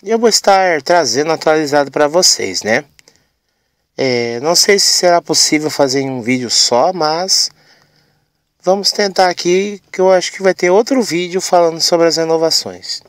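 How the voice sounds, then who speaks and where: Hoarse, chatty man vlogging. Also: on the high side, moderate pace, dry recording. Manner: chatty, frequent "né" and "pessoal" tags. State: hoarse from a cold. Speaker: channel host. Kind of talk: casual vlog news.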